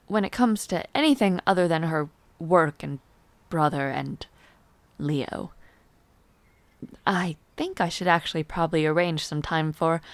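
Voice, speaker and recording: Woman acting poised young noblewoman, slow and low. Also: no room sound. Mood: calm, explaining. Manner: poised, formal wording, playful and softly reflective. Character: refined young palace noblewoman, teasing, gently haughty villainess. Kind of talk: intimate audio roleplay acting, chatting to the listener.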